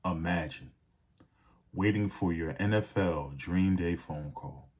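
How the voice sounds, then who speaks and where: Give the host a low-pitched male voice. He talks casually to his viewers about sports, picking through his points in a deliberate, halting manner. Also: darkish tone.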